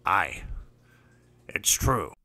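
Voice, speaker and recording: An actor playing a gruff rebel fighter with archaic turns of phrase in a fantasy audio drama. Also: male voice, extremely slow, bright, forceful tone, exaggerated voice. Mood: grim.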